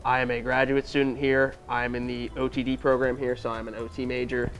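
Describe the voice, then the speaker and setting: A man's voice: mid-range voice, little pitch movement, fairly quick, fairly bright tone, faint music behind. Self-deprecating young man from Pennsylvania. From Pennsylvania, USA. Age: 20s. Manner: self-deprecating, laughs a lot. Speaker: quiz contestant, graduate student. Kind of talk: casual game show banter. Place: college TV studio.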